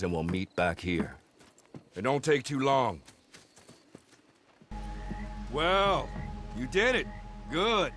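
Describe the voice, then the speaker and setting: An actor playing a determined American outlaw organiser in a western video game, plain-spoken and giving instructions. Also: male voice, on the low side, fairly bright tone. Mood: businesslike, then satisfied, resolute. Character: rugged, determined Old West outlaw gang organiser.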